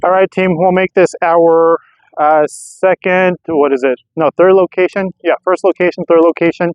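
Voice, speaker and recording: A man's voice: fairly high pitch, steady tone, normal speed, smooth, dry recording. A man talking casually as a vlogger during a field test of radio gear, in slightly muffled audio.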